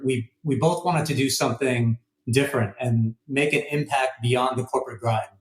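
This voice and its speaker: A man's voice: medium pitch, reverberant, rough recording. A man with an American accent talking as a startup founder in a conversational interview. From United States. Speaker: startup co-founder, podcast guest.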